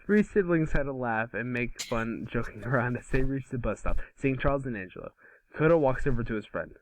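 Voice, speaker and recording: A man's voice: medium pitch, lively intonation, fairly quick, slight background noise. A man reading scripted scene narration informally at an amateur table read. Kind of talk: scripted narration of stage directions.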